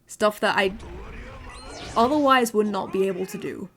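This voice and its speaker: A young woman with an English accent, chatting casually on a livestream, often repeating words and filling pauses. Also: mid-range voice, fairly bright tone, slight background noise. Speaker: VTuber streamer.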